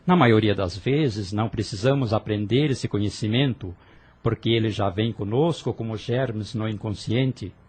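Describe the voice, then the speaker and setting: A man voicing an earnest young man in hiding, pleading and explaining in an audio drama, with a husky voice. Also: lowish voice, normal speed, a little mellow. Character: young man Vinícius, earnest persecuted son.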